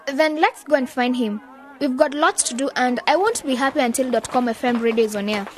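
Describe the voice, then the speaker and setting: A woman acting a bossy, focused young aspiring journalist in a radio drama, brisk and scolding. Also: high-pitched, some chatter behind, natural voice. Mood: impatient.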